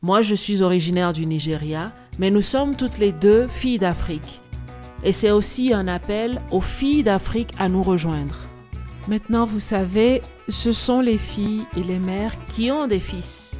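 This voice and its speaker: A woman gives a voice-over translation of an interview, reading at a steady, even pace. Faint music plays underneath. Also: mid-range voice, measured pace.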